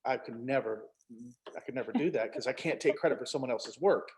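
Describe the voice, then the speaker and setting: Male writer in casual conversation, mid-pitched, slipping into quoted dialogue as he tells anecdotes. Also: a little fast, low-quality recording. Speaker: author, co-writer of a novel.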